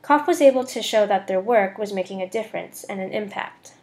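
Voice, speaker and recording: A woman's voice: mid-range voice, fairly quick, a little mellow. A young woman student reading a scripted voice-over for a slide presentation. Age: young adult.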